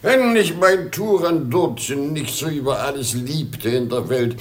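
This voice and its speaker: An actor playing a sorrowful, whining old emperor and doting father in a theatrical German radio play, with sighing, drawn-out delivery. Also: mid-range voice, animated, natural voice. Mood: lamenting.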